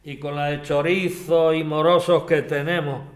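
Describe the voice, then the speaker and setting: A man's voice: fairly high pitch, level tone, normal speed, lightly acted. A man from playing a grumpy, prejudiced older neighbour who grumbles colloquially in a radio drama. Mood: grumbling. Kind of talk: acted community radio drama.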